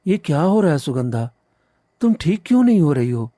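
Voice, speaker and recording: A man playing a devoted, trusting young village husband in a cartoon story, earnest and gentle. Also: a little high, sing-song, brisk, no echo, studio quality. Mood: worried. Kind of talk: cartoon moral story acting.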